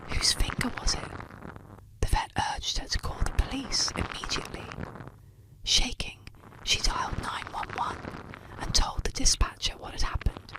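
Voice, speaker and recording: Soft, unvoiced ASMR whisper, storytelling. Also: normal speed, poor audio. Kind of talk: whispered ASMR scripted narration of spooky legends.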